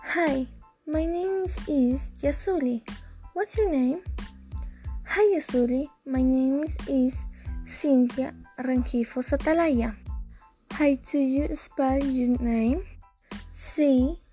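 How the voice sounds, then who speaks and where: A woman's voice: high voice, slow, dark tone, faint music behind. A woman, an English learner carefully reciting rehearsed practice dialogues and spelling names out letter by letter. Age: 20s.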